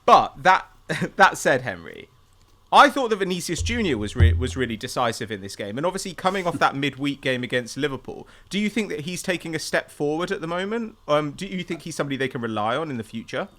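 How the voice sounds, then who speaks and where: A man's voice: fairly high pitch, very animated, a little fast, pushed and bright. A man hosting a casual football discussion, talking in an inquisitive, conversational way. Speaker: podcast host.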